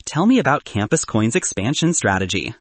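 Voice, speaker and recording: A man voicing a confident, teasing young woman, a sharp student founder in an audiobook, brisk and bantering. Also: medium pitch, sing-song, no echo. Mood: frank, confident.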